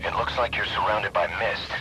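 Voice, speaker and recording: An actor playing a concerned, businesslike military support operator talking over radio in a video game. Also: male voice, lowish voice, fairly even intonation, brisk, heavy background noise. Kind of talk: scripted radio dispatch in a game.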